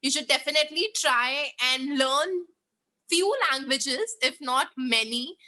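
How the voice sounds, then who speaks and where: A woman's voice: high voice, a little slow, pushed and bright, rough recording. A woman hosting an interview, who asks polite questions and sums up her guest's points. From India. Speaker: interview host.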